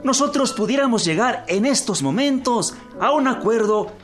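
An actor playing a courteous Mexican revolutionary general in a radio drama, speaking in a formal, oratorical way. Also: male voice, very high-pitched, lively intonation, moderate pace, soft background music. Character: revolutionary-era Mexican general rallying fellow officers, courteous.

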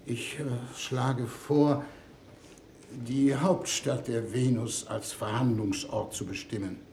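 A formal male diplomat in a 1960s radio play. The voice is dark with a gravelly fry. He is polite and procedural, with small hesitations, over faint noise. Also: medium pitch, steady tone, takes it slowly. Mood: formal, puzzled. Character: courteous, formal male Earth diplomat chairing negotiations.

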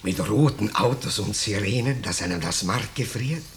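An actor playing an ingratiating vagrant in a 1950s German radio play, speaking with unctuous false modesty and quiet menace. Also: male voice, on the low side, normal speed, lightly acted. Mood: insinuating. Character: ingratiating vagrant stranger, slyly menacing, mock-humble. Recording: noisy 1950s radio drama recording.

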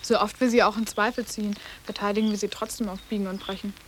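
A woman's voice: medium pitch, flat delivery, fast, a little hiss or hum, natural voice. An actress playing a sarcastic, self-possessed teenage boarding-school girl in a radio drama, with a precocious, formal way of talking. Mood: dry sarcasm. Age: young.

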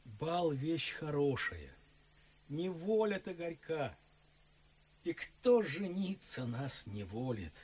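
An actor playing a glum, henpecked husband in a radio verse comedy, grumbling with drawn-out resignation. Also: male voice, on the high side, big pitch swings, darkish tone, breathy edge, heavily acted. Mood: glum, resigned.

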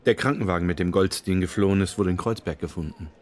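A man's voice: low-pitched, fast talker, close to an everyday voice. An actor playing a reserved junior policeman in a German radio drama. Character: reserved junior male policeman, grateful to his partner. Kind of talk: German radio play acting.

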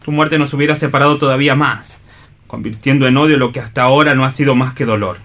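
A man's voice: medium pitch, fast, fairly bright tone. An actor playing a tormented, pleading young man in love in a radio theatre reading, earnest and emphatic. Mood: desperate.

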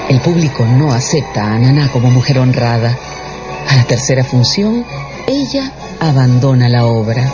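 A man's voice: medium pitch, animated, normal speed. A man narrating a radio dramatization in a scripted storytelling style. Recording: slightly dull sound with music underneath.

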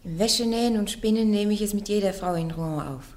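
A woman's voice: mid-range voice, a little fast, a little mellow, natural voice. An actress playing a blunt, fearless young peasant woman on trial in a radio play. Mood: cheeky, stubborn. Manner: blunt, defiant, plain-spoken.

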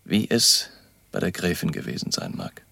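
An actor playing a grave, authoritative baron who hunts vampires, speaking formally and in a lecturing way in a radio drama. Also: male voice, low voice, wildly swooping pitch, normal speed, vocal fry, bright, forceful tone, dry recording, studio quality, heavily acted. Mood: lecturing, solemn.

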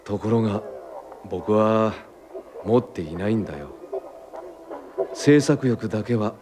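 A low-voiced, introspective writer. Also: male voice, lively intonation, unhurried, background noise. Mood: musing. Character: weary introspective Japanese writer in his thirties. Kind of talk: radio drama dialogue.

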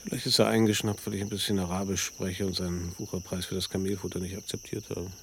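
A cool, cynical man talks in a radio play. His voice is low and gravelly, his delivery laconic and matter-of-fact, with crickets clearly heard behind him. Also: flat delivery, fast talker. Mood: casual, thoughtful. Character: cool, cynical male expatriate living in Tunisia. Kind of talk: naturalistic radio drama dialogue. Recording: clear background noise of chirping crickets. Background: crickets, outdoor ambience.

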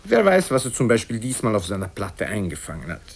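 A man voicing a gleeful, sly provincial governor in a radio story, chuckling and excitable, affectionate in manner. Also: lowish voice, fairly quick, breathy edge, full character voice. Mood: sly, complacent. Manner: chuckling, excitable, swooping delivery. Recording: hissy old radio recording from cassette.

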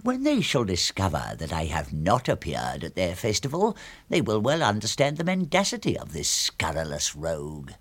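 An actor playing a proud, deluded old knight in a radio drama, pompous, formal and easily affronted. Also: male voice, low-pitched, huge pitch swings, moderate pace, husky, exaggerated voice. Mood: indignant. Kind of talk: theatrical radio drama acting.

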